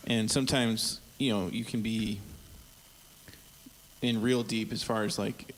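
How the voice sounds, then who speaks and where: A man talking as a musician in a casual group conversation, thoughtful and hesitant, with a gravelly voice. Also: on the low side, flat delivery, measured pace, low-quality recording. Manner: thoughtful, hesitant, soft-spoken. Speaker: musician guest.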